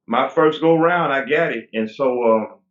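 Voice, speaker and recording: A man's voice: medium pitch, unhurried. Rambling forties man over a tinny line. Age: 40s. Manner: relaxed, rambling with frequent restarts. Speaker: guest bodybuilder. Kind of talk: casual interview talk. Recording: tinny phone-like line, roomy echo.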